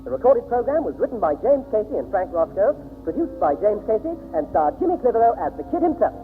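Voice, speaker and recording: A man's voice: high-pitched, big pitch swings, quick pace. A male announcer reading scripted credits in the manner of 1960s radio. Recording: tinny narrow-band old radio broadcast. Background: music.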